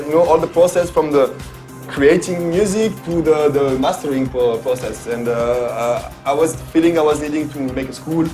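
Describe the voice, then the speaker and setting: A man in his late thirties from France with a French accent, answering interview questions in a hesitant way, with many pauses and restarts. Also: a little high, darkish tone. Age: 30s. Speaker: guest DJ and producer. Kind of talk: casual interview.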